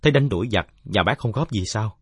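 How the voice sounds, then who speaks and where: A man's voice: on the low side, lively intonation, brisk, husky, no room sound, studio quality. A man voicing a polite but persistent young boy who argues respectfully in a story. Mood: questioning.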